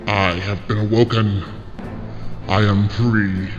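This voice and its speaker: A man's voice: fairly low pitch, flat delivery, takes it slowly, music in the background. A man playing an arrogant ancient demon who declaims his power and flares into anger. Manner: proud, declaiming. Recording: reverberant lo-fi fan audio drama.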